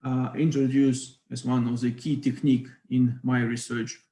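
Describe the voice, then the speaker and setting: A man's voice: medium pitch, level tone, normal speed, mellow. Hesitant Ukrainian man in echoey room. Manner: hesitant, many fillers. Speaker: physics lecturer. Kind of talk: online academic talk.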